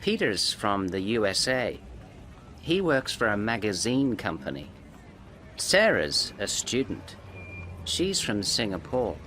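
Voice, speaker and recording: Man, Irish accent, slow clear narration, lively pitch, faint background noise. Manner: clear, deliberate delivery. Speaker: narrator of a language-course story. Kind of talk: slow scripted narration for learners.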